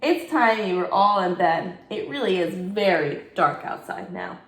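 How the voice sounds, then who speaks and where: A woman's voice: mid-range voice, expressive, a little slow, natural voice. A woman reading as a cheery, playful young aunt. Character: playful fun-loving young aunt babysitting. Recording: echoey room.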